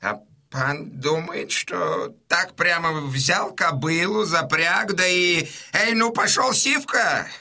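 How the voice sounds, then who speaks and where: Shrill, nervous, wily go-between. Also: male voice, creaky, sharp tone, exaggerated voice. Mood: agitated, protesting. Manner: wailing exclamations, drawn-out words, fussy hesitations. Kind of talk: full-cast audiobook dramatisation.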